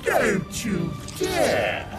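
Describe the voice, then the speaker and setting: A defiant, theatrical audio drama villain. The voice is high and swings dramatically, with words drawn out extremely slowly. Loud music plays underneath. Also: male voice, huge pitch swings, exaggerated voice. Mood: alarmed.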